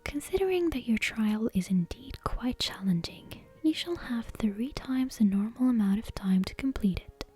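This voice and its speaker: A woman's voice: on the high side, animated, normal speed, hardly any pauses, a little mellow, slightly rough. A woman voicing a breezy, brazen witch teacher from a storybook in an airy, blithely reassuring whisper.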